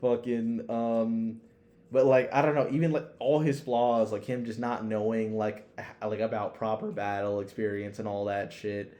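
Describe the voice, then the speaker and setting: Mellow young man, slangy and profane. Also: on the low side, level tone, normal speed. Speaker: podcast co-host. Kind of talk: casual opinionated fan chat.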